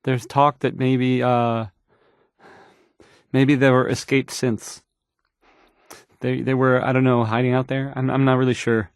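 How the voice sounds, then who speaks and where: A man's voice: medium pitch, level tone, measured pace, pauses often, clear, resonant tone, no echo, studio quality, close to an everyday voice. An actor playing a nervous, awkward young male radio DJ in a game, stammering and hesitating through the news, rambling and unsure of himself. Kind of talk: fumbled radio news report in a video game.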